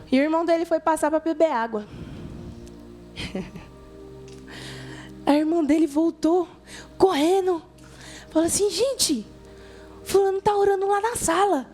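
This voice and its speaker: High-voiced Brazilian woman, informal youth preacher. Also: a little slow, long pauses, a little mellow, faint music behind. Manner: colloquial, conversational, addresses listeners directly, acts out dialogue. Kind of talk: informal youth sermon with storytelling.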